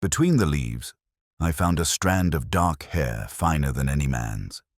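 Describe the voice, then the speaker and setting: An actor playing a melancholy, wry middle-aged police inspector, narrating a detective story in a measured, literary manner with deliberate pauses. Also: male voice, a bass voice, big pitch swings, no echo, studio quality, exaggerated voice. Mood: brooding, reflective. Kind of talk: scripted first-person detective narration.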